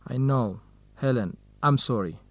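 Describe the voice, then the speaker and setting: A man acting a gentle, supportive young researcher and loyal friend in a radio drama, speaking in measured, carefully enunciated lines. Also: medium pitch, no echo. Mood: apologetic. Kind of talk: radio drama acting, clear dialogue for language learners.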